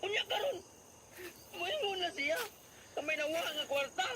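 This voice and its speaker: A man acts out an aggrieved working husband in a Filipino radio drama. He has a Cebuano accent and an earnest, excitable delivery with lively pitch swings. Clear noise runs behind the voice. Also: moderate pace. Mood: tearful, indignant.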